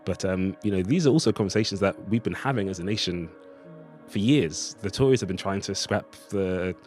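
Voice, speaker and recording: A man's voice: low voice, fairly quick, darkish tone, faint music behind. A man giving a thoughtful, discursive interview as a writer, speaking in a reflective conversational way. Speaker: writer of a radio play, interviewee.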